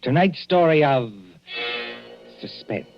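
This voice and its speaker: A man's voice: a little high, expressive, slow. A man announcing in a formal, dramatic 1940s radio style. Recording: dull vintage radio recording. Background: music.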